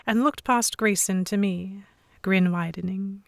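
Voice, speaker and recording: A woman narrating a scripted first-person audio drama in an expressive, dramatic storytelling manner. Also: medium pitch, unhurried, gravelly, dry recording, clean studio sound.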